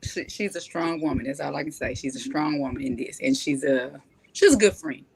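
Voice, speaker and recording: A woman's voice: deep voice, brisk, gravelly, a crowd in the background, poor audio. A woman chatting casually as a cast member being interviewed.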